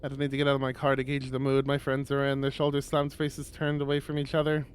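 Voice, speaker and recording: A man reading a visual novel aloud on a livestream as dramatic, expressive narration with drawn-out phrases. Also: mid-range voice, smooth.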